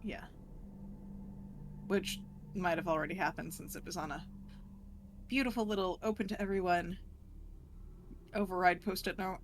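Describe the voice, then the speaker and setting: A woman's voice: fairly low pitch, moderate pace, long pauses. Woman gamer chatting, faint background noise. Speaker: player in a tabletop role-playing game. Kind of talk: casual game-table chat.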